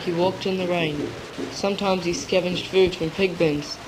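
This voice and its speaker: A teenager reading scripted third-person literary narration in a measured way on an amateur cassette recording. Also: medium pitch, steady tone. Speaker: narrator in a school drama. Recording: noisy amateur 1980s cassette tape.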